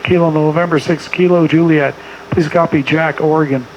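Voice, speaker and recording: A man's voice: a little high, fairly even intonation, normal speed, low-quality recording. A middle-aged man working an amateur radio contest, rattling off clipped exchanges and spelling in phonetics. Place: small home radio room indoors. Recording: clear background noise.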